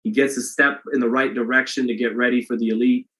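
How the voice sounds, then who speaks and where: Monotone male boxing livestream host. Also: mid-range voice, fast. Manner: monotone delivery, self-described; loose and rambling, many restarts. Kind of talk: casual livestream chat, reading donations.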